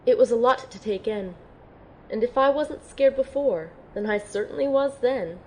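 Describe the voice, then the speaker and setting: A woman playing an earnest, unsure young female recruit in an audio drama, sounding sincere, gentle and tentative. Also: fairly high pitch, moderate pace, a little hiss or hum, lightly acted, low-quality recording. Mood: anxious, reflective. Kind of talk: audio drama acting and first-person narration.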